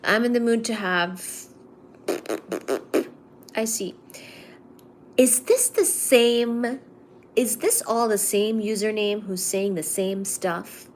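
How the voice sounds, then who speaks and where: A young woman from India hosting a casual livestream, chatting with viewers and reading their comments aloud in a relaxed, low-energy mood. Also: slow pace, pauses often, a little hiss or hum, poor audio. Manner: chatty, teasing, reads chat aloud and answers it. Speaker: livestream host. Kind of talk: casual live-stream chat with viewers.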